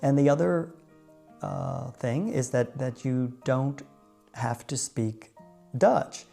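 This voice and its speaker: A middle-aged man with an American accent tells his personal story to viewers in a measured, reflective way with deliberate pauses. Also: mid-range voice, creaky, mellow, soft background music. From United States. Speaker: vlogger.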